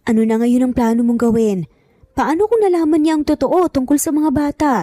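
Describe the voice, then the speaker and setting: An anxious middle-aged mother in an audio drama, acted by a woman narrator, frets with a Filipino accent. Her voice is fairly high and very mellow. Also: fairly quick, dry recording, lightly acted. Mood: worried.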